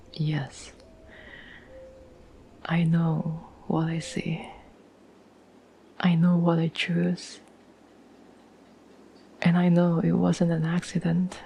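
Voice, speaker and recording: Woman acting a tender whispering girlfriend, very slow. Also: sits low, completely flat delivery, slight background noise, full character voice, rough recording. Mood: earnest, reassuring. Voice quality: soft, breathy whisper. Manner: gentle, slow, halting, earnest. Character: tender, devoted girlfriend reassuring an anxious partner. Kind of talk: whispered ASMR roleplay.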